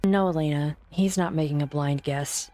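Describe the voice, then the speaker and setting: A woman's voice: low voice, moderate pace, mellow, faint music behind, natural voice. A woman voicing a grave, shrewd, suspicious doctor in a game fan dub. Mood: grave, wary.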